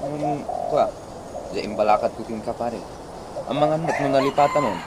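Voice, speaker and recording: A man's voice: mid-range voice, moderate pace, lightly acted. A man playing a concerned, advising friend in a radio comedy-drama, inquisitive, with an Ilocano accent. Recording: clearly noisy audio.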